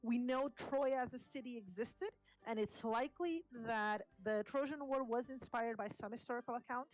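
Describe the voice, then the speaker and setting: A woman from Portugal, a native Portuguese speaker, explaining mythology in casual podcast chat, pausing often with ums. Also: on the high side, poor audio. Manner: hesitant, many ums and restarts. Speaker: podcast co-host, explaining history.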